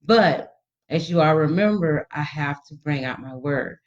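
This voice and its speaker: A woman's voice: low-pitched, expressive, measured pace. A woman guest educator giving informal, instructive tips to parents in reassuring, colloquial language. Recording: slightly dull, lo-fi audio.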